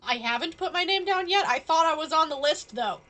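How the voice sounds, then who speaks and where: A woman's voice: sits high, animated, a little fast, bright, forceful tone. A woman game streamer chatting casually and playfully, tossing teasing asides at the game as she plays. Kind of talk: casual live-stream chat while gaming.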